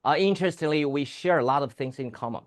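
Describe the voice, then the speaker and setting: A man's voice: on the high side, expressive, fast talker. Taiwanese man hosting an interview. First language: Chinese. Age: middle-aged or older. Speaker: talk show host and interviewer. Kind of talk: formal interview with light banter.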